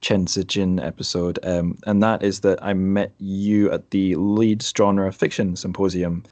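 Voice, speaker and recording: A man's voice: low voice, little pitch movement, unhurried, a little mellow, no room sound. A man hosting a podcast in an informal, chatty, conversational style. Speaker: podcast host. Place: remote recording over internet call.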